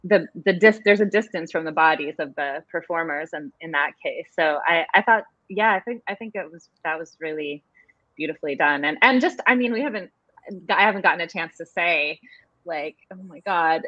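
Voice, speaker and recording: A woman's voice: sits low, moderate pace, a bit bright, no echo. Woman, casual theatre panelist, dry sound, slightly muffled video-call recording. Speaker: theatre artist on a discussion panel. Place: indoor room with bookshelves, on a video call.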